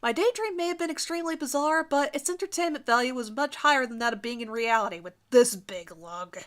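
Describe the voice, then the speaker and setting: A woman's voice: high-pitched, lively intonation, a little fast. A woman giving a dramatic first-person reading of her own fan fiction story.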